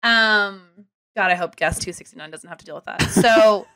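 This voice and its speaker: A woman in her thirties telling a long story casually on a podcast from a small home room, animated and rambling. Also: medium pitch, normal speed, clean tone, rough recording. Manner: animated, rambling, self-deprecating. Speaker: guest comedian and ski content creator. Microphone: studio mic close up with headphones.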